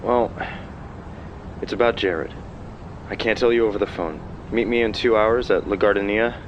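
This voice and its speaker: A man acting as a hurt, pleading cop ex-boyfriend in an audio drama, smooth and earnest over an earpiece call. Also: on the low side, fairly even intonation, normal speed, highs cut off. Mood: subdued. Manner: earnest, wounded. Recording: noisy earpiece call with street ambience.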